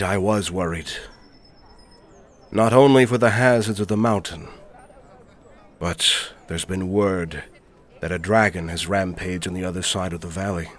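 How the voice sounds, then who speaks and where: Druid master played by a man: deep, unhurried, fatherly mentor with measured, solemn delivery for fantasy audio roleplay. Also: a bit bright, a little hiss or hum. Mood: relieved, proud.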